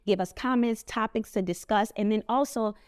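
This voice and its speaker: A woman's voice: on the high side, normal speed, darkish tone, clean tone. A woman hosting a podcast, talking to her listeners in an upbeat, chatty way. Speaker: podcast host. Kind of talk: casual podcast chat.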